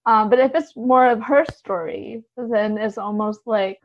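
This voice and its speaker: A woman's voice: on the high side, fairly even intonation, unhurried, highs cut off. A woman speaking as a podcast guest in a casual literary discussion, informally hedging her points with many small filler phrases. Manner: hedging, informal, repeats "kind of" and "like".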